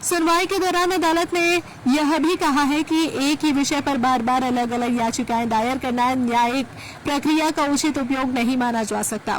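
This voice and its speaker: High-pitched woman reading news. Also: a little hiss or hum, rough recording. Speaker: news presenter. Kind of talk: scripted news narration.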